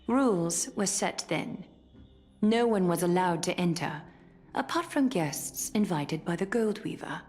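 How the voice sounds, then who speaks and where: A woman playing a serene, haughty demigod ruler in a fantasy game, speaking in a measured, formal, softly scornful way. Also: lowish voice, animated. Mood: calm, reflective. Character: serene, haughty, cold-hearted female demigod ruler, long-lived. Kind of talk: dramatic fantasy game voice acting.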